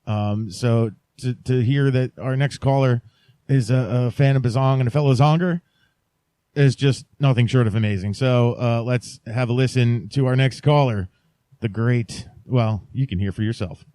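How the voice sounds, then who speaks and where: Halting male podcast host, very dry sound. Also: medium pitch, warm tone, dry recording. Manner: halting, mock-sincere joking. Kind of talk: casual rambling podcast chat.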